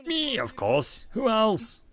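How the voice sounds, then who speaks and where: A man's voice: sits high, wildly swooping pitch, takes it slowly, fairly bright tone, pitched above the performer's own voice, full character voice. A man voicing a grandiose, theatrical eighteen-year-old self-styled genius, pompous and indignant, in an audiobook. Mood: pompous outrage.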